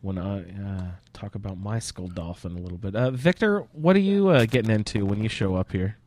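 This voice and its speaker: A man's voice: lowish voice, expressive, brisk, darkish tone, dry recording. A man hosting a casual gaming roundtable, chatting and putting questions to the other panelists. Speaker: podcast host leading roundtable.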